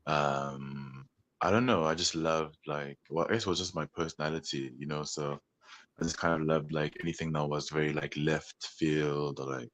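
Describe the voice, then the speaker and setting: A man's voice: very deep voice, steady tone, moderate pace, a bit bright, no echo. A man being interviewed as a musician guest, talking in a meandering, hesitant way with many fillers. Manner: meandering, hesitant, full of "like" and "you know". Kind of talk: casual interview talk.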